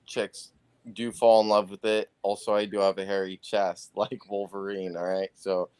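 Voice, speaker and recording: A man chatting casually as a guest on a live talk show, laid-back and halting, with lots of fillers. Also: fairly low pitch, flat delivery, darkish tone, clear, resonant tone. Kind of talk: casual chat.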